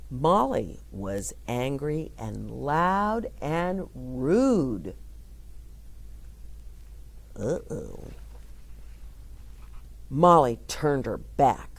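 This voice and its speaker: A woman reads a children's picture book aloud in an unhurried, very slow way, with a low voice that still swoops up and down a lot. She pauses to explain words and to ask her young listeners gentle questions. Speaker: children's storyteller.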